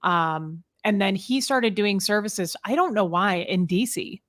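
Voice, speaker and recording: A woman's voice: medium pitch, animated, unhurried, a bit bright. A woman hosting a casual chat, speaking candidly in a chatty, personal storytelling way. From American Midwest. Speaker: podcast host. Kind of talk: casual conversation.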